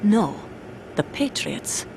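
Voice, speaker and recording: A woman playing a tough, guarded soldier in a video game, speaking gravely and tersely under a heavy burden. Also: takes it slowly, a little mellow, lightly acted. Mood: pained confession. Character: tough, guarded female soldier, burdened mother turned double agent. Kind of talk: dramatic video game cutscene acting. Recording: noisy mechanical hum behind voice.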